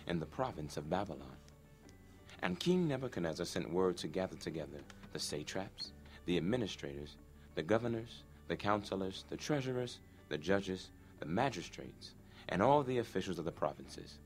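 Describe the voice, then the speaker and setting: Low-voiced man narrating solemnly over music. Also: expressive, brisk. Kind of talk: dramatic scripted Bible narration. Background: background music.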